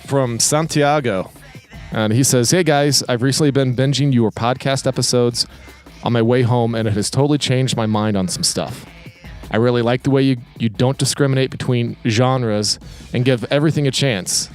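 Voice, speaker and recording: A man of average pitch talks casually as a podcast co-host. His delivery is a little halting, and music plays under his voice. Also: a little mellow.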